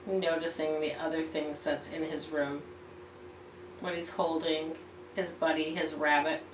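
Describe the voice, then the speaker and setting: Woman, slightly low voice, children's storytelling, teacherly and expressive, roomy echo, set back from the mic. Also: unhurried. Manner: expressive, teacherly, explains and points things out to young listeners. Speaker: storyteller reading a picture book aloud for children. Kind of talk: read-aloud storytelling with explanatory asides. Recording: roomy echo, distant mic, faint background noise.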